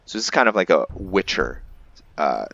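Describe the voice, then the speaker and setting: A man giving casual, improvised game commentary in a halting, think-aloud manner as he narrates a roleplay playthrough. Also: low-pitched, big pitch swings, gravelly, sharp tone, muffled recording. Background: background game music.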